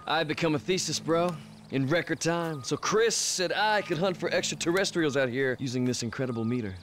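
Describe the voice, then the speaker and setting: A man's voice: on the high side, animated, fairly quick, fairly bright tone, a little hiss or hum. An actor playing a breezy, self-satisfied celebrity man who has joined a cult, in a video game cutscene, full of bro talk. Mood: smug, friendly. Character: smug, breezy male celebrity actor turned cult believer.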